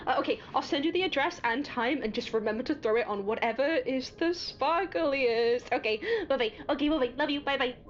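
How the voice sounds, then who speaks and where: Scatty, excitable young friend voiced by a woman, high-pitched, stammering and wheedling; audio drama phone call, muffled with some noise. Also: brisk, hardly any pauses, natural voice. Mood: gushing, grateful.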